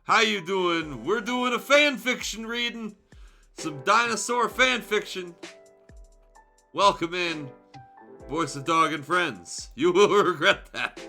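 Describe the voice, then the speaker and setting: A man's voice: sits high, pushed and bright. A man streaming a fan fiction read-aloud, switching between narration and wry, sarcastic, sweary commentary.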